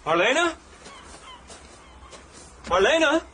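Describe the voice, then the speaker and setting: An actor playing an affable, eager young English gentleman in a radio drama, polite and expressive. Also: fairly high pitch, a little fast, slight background noise. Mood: shocked.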